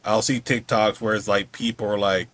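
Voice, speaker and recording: A man's voice: fairly low pitch, flat delivery, moderate pace, a bit bright. A man hosting a podcast, asking questions in a casual, conversational interview style. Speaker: podcast host.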